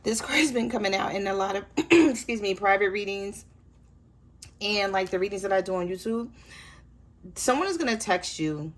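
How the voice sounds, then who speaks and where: A woman with a hoarse voice giving a chatty tarot reading straight to her viewers. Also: medium pitch, normal speed. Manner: relaxed, chatty, addresses viewers directly. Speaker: tarot reader.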